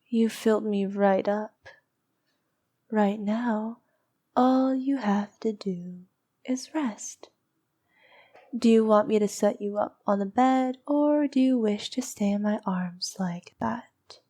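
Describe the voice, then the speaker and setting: Slow, breathy vampire princess, acted by a woman. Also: fairly high pitch. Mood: sated, soothing, then teasing, fond. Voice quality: soft, breathy, often dropping to a whisper. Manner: slow, cooing, possessive, coaxing. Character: noble but needy vampire princess, dominant yet tender lover. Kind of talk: intimate ASMR girlfriend roleplay.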